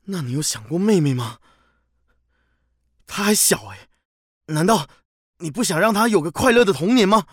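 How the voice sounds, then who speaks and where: A man's voice: sits high, expressive, moderate pace, pauses often, slightly rough, dry recording, studio quality. An actor playing a protective, distressed father in a radio drama, pleading emotionally. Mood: upset, scolding.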